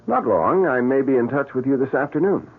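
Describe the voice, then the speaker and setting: A man's voice: medium pitch, expressive, a little fast, breathy edge. A man acting in a radio drama as a slick, money-hungry agency boss with a salesman's hedging manner. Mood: persuasive. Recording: muffled old radio broadcast.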